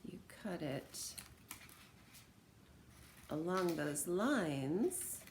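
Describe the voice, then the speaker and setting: A woman's voice: lowish voice, animated, drawn-out words, slight background noise. An American woman teacher talking informally and encouragingly to students as she reads aloud and demonstrates things. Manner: encouraging, conversational.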